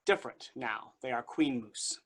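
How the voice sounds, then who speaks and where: A man's voice: medium pitch, moderate pace, a bit bright, breathy edge. A man reading stage directions as scripted narration at a play reading.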